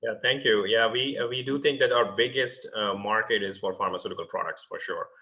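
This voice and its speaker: A man's voice: on the low side, fairly quick, pushed and bright. A man, a startup founder, answering investor questions over a video call, hesitant with many fillers and restarts.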